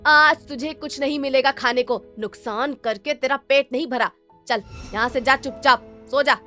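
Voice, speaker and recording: A woman voicing a cruel stepmother in an animated moral story, scolding with loud, sarcastic taunts. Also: high voice, moderate pace, faint music behind. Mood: cold, angry.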